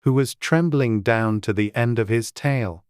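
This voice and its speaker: Very low-pitched woman narrating a storybook. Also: unhurried, a little mellow, clear, resonant tone, dry recording, studio quality. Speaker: audiobook narrator.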